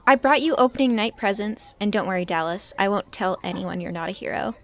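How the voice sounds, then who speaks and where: A woman's voice: mid-range voice, brisk, fairly bright tone, natural voice. A woman playing a cheerful, knowing gossip in an audio drama, breezy and teasing. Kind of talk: casual dialogue in audio drama.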